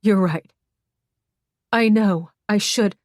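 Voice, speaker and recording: A woman's voice: mid-range voice, slow, no echo, clean studio sound, pitched above the performer's own voice, lightly acted. A woman narrator voicing a guilt-stricken adult woman who stammers and breaks off her words. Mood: faltering.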